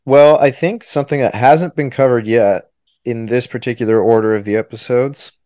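A man hosting a casual podcast interview, asking measured, probing questions. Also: mid-range voice, warm tone, no echo. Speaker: podcast host.

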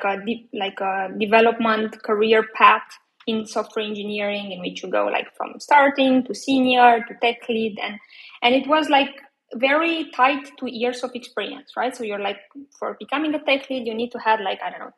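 A woman's voice: on the high side, normal speed, vocal fry, a bit bright, rough recording. A woman in her thirties from Romania, talking casually as an interview guest, with lots of fillers and restarts. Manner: chatty, many fillers and restarts.

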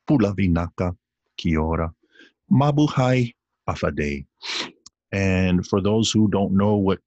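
Very deep-voiced rambling podcast host. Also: male voice, a little mellow, dry recording, highs cut off. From Samoan heritage. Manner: rambling, playful, talks to listeners as y'all. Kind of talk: casual solo podcast rant. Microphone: computer recording via video call app.

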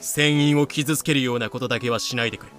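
A man's voice: medium pitch, steady tone, fast, a bit bright, soft background music, natural voice. A man playing a hapless sailor at the mercy of pirates, pleading dramatically in an anime-style fan audio drama. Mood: desperate.

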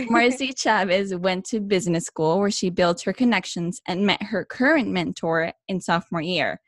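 A woman's voice: mid-range voice, moderate pace, dry recording, studio quality. A woman hosting her own interview podcast, opening with a friendly scripted intro and then asking questions. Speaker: podcast host.

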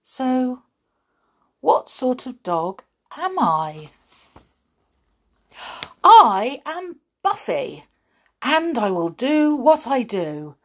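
A woman's voice: fairly high pitch, big pitch swings, very slow, long pauses, voice pushed up, heavily acted. A woman voicing a plucky, forlorn male performing dog in a storybook, speaking in an earnest, theatrical way. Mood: resolute.

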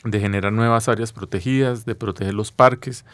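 A man's voice: lowish voice, steady tone, fairly quick, no echo. A man with a Colombian accent explaining things didactically as an interview guest, often checking with short tag questions.

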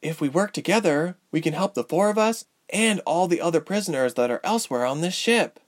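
A resolute young heroine played by a male narrator talks in a raised, lighter pitch. She is guarded and self-assured, a storybook leader. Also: lively intonation, brisk, lightly acted. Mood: confident, secretive.

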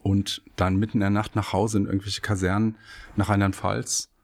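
Low-voiced middle-aged German law professor. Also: male voice, flat delivery, moderate pace, darkish tone. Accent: standard German with faint Hessian. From Hesse, Germany. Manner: chatty storyteller, laughs easily. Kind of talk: relaxed podcast conversation.

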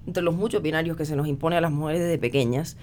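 Lady guest talking all academic and articulate, slight rumble behind. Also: fast talker, darkish tone. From Cartagena, Colombia. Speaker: guest author. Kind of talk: conversational discussion.

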